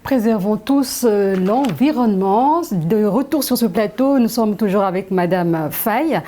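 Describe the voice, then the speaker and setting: A woman hosts a studio news interview. Her voice is mellow and dark in tone, at an average female pitch. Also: a little slow. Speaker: news show presenter.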